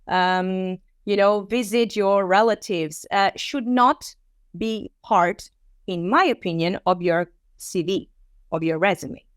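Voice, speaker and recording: A woman in her thirties, raised in Armenia with Armenian as her first language, gives a conversational online presentation. She has a middle pitch and often acts out quotes. The sound is dry and close. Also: no room sound. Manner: conversational, animated, with frequent fillers and acted quotes. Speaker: teacher trainer.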